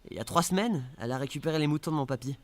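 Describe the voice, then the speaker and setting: A man's voice: fairly high pitch, very fast, close to an everyday voice. An actor playing an awkward, chatty young man from a village, rambling eagerly in an audio drama. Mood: cheerful, chatty. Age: young adult.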